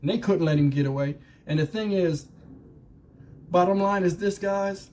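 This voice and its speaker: Mellow-voiced man giving casual commentary. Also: high voice, normal speed, slight background noise. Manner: colloquial, conversational, punctuated with rhetorical questions. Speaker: YouTube commentary host.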